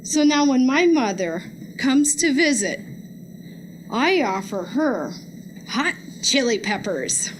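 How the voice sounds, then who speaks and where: A woman reciting a poem in a measured way at a live reading. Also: sits high, big pitch swings, noisy background. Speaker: guest poetry reader.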